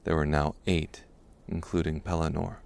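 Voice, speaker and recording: A man reading scripted dark fantasy narration as an audiobook narrator. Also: a bass voice, flat delivery, normal speed, creaky.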